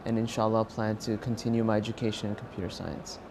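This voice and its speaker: A man's voice: on the low side, level tone, quick pace, a little mellow. Young American man over background noise. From California, United States. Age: young adult. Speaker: university student interviewed as a pilgrim. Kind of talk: personal testimony to camera.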